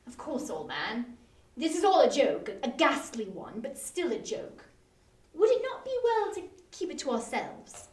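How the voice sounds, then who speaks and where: A woman voicing an earnest, cautious Victorian gentleman, a worried friend giving urgent advice, in a story reading. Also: high-pitched, huge pitch swings, a little slow, full character voice. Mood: earnest warning. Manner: urgent, old-fashioned advising. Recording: roomy, distant-mic live online reading.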